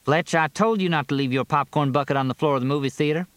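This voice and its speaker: Man voicing a high-pitched, folksy country woman. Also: normal speed, no room sound, natural voice. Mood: scolding. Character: folksy, teasing Southern country woman, outspoken and cheeky.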